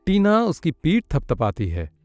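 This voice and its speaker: A man's voice: on the high side, wildly swooping pitch, a little slow, darkish tone. A man narrating a children's cartoon story in a scripted storytelling style.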